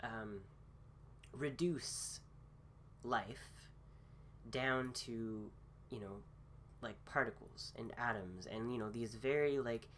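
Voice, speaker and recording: A man recovering from a cold gives a casual philosophy talk with many pauses, fillers and restarts. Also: mid-range voice, a bit bright, a little hiss or hum. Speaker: host.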